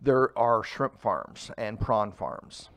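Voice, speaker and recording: Relaxed man, dry close sound. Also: on the low side, slow pace, vocal fry, no room sound. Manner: relaxed, rambling with fillers. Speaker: food truck owner. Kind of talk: casual interview talk.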